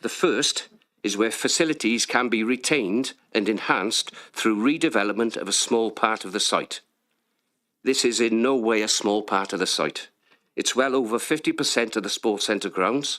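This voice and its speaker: A man's voice: on the low side, moderate pace, bright, forceful tone. Firm man reading an objection. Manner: firm, pointed. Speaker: public speaker at council committee.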